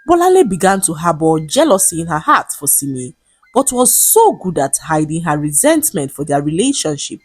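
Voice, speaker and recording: A woman narrating a scripted folktale in a measured, expressive storytelling manner. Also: lowish voice, breathy edge, no room sound. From Nigeria. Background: background music.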